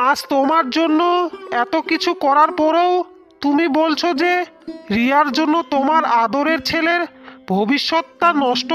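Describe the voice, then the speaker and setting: A woman's voice: sits high, fairly bright tone. A woman playing an aggrieved village husband and protective father in a cartoon fairy tale. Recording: music under the voice.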